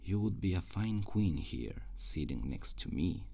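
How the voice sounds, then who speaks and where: A man playing an ambitious, cruel usurper prince in an ASMR roleplay, speaking formally and theatrically in a husky, gravelly voice that often drops toward a whisper. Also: deep voice, sing-song, a little slow, mellow, full character voice. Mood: intense, admiring.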